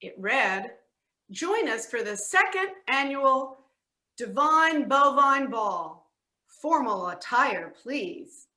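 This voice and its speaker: A woman's voice: sits high, big pitch swings, slow, bright, forceful tone. A woman narrating a children's storybook aloud in a readers theatre. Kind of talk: storybook reading. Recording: echoey room.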